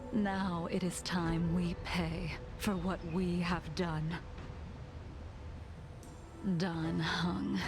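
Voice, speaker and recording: A woman playing a grave, sorrowful swordswoman in a video game, speaking softly and formally in measured, breathy phrases. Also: mid-range voice. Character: solemn, sorrowful swordswoman, a centuries-old condemned sinner. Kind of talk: dramatic video game voice acting. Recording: music playing behind the voice.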